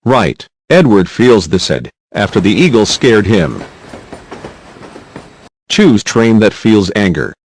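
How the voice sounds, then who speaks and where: A man's voice: lowish voice, sing-song, slow, long pauses, a little hiss or hum. A man reading scripted narration for a children's quiz video, with simple prompts in non-native English phrasing.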